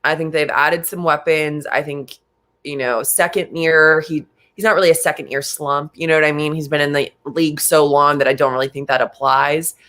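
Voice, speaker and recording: Animated young man talking football. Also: a little high. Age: late 20s. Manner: animated, talks in quick bursts with many fillers. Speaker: sports show co-host. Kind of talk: casual sports talk.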